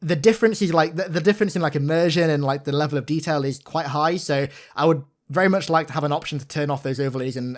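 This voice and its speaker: A man giving an informal, enthusiastic gameplay breakdown as a gaming commentator, talking like an excited fan. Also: fairly high pitch.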